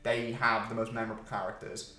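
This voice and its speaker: A man's voice: lowish voice, level tone, fairly quick, pushed and bright. A man talking casually as a podcast co-host, with a blunt, emphatic and sweary way of putting things. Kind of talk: casual chat about films and games. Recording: roomy, echoey sound.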